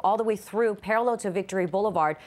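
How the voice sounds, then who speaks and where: A woman's voice: mid-range voice, fast. An American woman ad-libbing a live traffic report, explaining and repeating directions as she goes.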